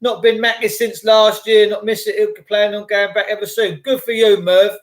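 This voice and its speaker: A man's voice: high voice, flat delivery, moderate pace. An Englishman hosting a livestream, rambling through conspiratorial tangents, swearing freely and answering chat. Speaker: livestream host reading chat. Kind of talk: rambling live chat monologue.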